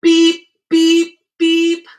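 A woman's voice: completely flat delivery, drawn-out words, heavily acted. A woman voicing a friendly, eager little truck from a children's storybook, with beeping exclamations. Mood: cheerful. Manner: high loud beeping exclamations.

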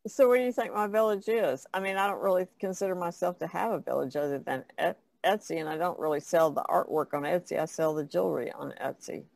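Very creaky seventy-year-old woman. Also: on the low side, quick pace, few pauses, a little mellow, no room sound. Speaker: podcast panelist, artist. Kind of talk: casual chat.

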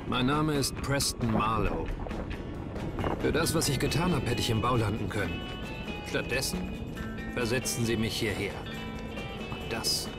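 An actor playing a young male army private, an earnest and slightly unsure new recruit. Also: lowish voice. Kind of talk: scripted first-person narration and game dialogue.

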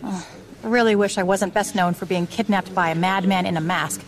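An actress playing a steady, dry-witted adventurous mother in an audio drama. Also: medium pitch, a little fast, natural voice. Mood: reflective. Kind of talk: full-cast audio drama acting.

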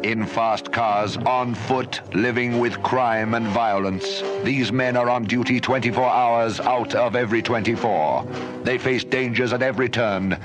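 A man's voice: medium pitch, steady tone, measured pace. A man giving dramatic scripted narration as the announcer of a radio series. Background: music.

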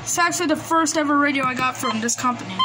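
A woman's voice: high voice, fast. A woman giving a casual, hesitant, rambling show-and-tell in an informal way, recorded on a handheld phone. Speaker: collector presenting gadgets. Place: small indoor room at a desk. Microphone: handheld phone camera. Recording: noisy handheld recording, background hiss. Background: noise and radio devices.

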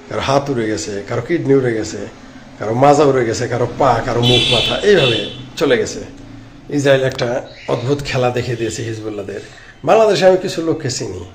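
A man in his late fifties with a Bangladeshi accent, giving informal live commentary and answering comments in a sarcastic, rambling, repetitive way into a phone. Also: medium pitch. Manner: sarcastic, rambling, repeats phrases. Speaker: live-stream political commentator. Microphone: phone at arm's length. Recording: clearly noisy phone recording.

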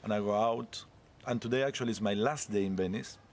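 A man's voice: fairly low pitch, brisk, a little hiss or hum. A man in his forties from Peru, talking casually with a rambling manner in a street interview in a leafy courtyard outdoors. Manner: chatty, rambling, non-native English. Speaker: interviewee, cruise ship photographer.

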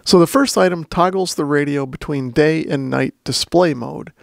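A man's voice: on the high side, moderate pace, gravelly, no echo, clean studio sound. A man giving a plain-spoken, methodical step-by-step walkthrough as a product reviewer. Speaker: radio reviewer and demonstrator.

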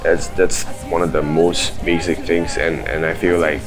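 A young man from Singapore, a rapper, talking haltingly in an interview. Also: deep voice, level tone. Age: young adult. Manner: halting, repeats words. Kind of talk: documentary interview. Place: recording studio control room. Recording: music playing behind the voice.

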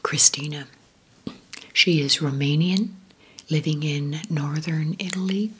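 Woman, soft unvoiced whisper, low, unhurried, airy, gentle ASMR chat. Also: husky, poor audio. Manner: slow, gentle, affectionate rambling with fillers. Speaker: ASMR creator.

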